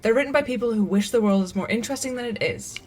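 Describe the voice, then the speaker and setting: A woman's voice: a little high, fast talker, a little mellow, a little hiss or hum, lightly acted. An actress playing a blunt, skeptical young woman who talks in a wry, casual way in an audio drama. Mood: dismissive.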